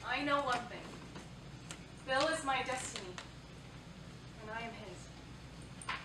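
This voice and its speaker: Woman acting a high-voiced devoted wartime sweetheart. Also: lively intonation, takes it slowly. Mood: resolute faith. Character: devout young woman devoted to her soldier sweetheart. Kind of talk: staged play reading. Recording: echoey theatre, distant mic, noisy.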